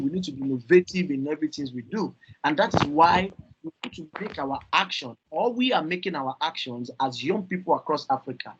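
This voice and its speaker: A Nigerian man speaking earnestly on a video call panel, halting with fillers and repeated words, over dull lo-fi audio. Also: fairly high pitch, slightly rough. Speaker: youth climate panelist.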